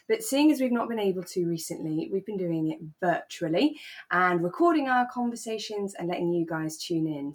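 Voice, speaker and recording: A British woman with a slightly low voice co-hosts a chatty podcast. She talks in a relaxed, conversational way, as if going over a favourite drama with a friend. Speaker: podcast co-host.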